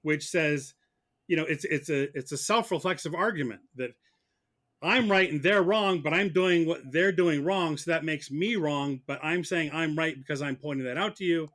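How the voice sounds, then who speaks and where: Higher-voiced man arguing his case. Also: a bit bright. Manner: argumentative, halting with repeated words. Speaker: podcast guest arguing as mock prosecutor. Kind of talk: comic debate on a film podcast.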